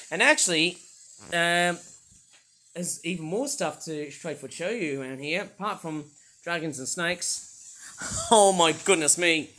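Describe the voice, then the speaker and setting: British male toy reviewer, high croaky voice, rambly and enthusiastic, lots of "uh"s. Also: sharp tone, poor audio. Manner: rambling, enthusiastic, many hesitations.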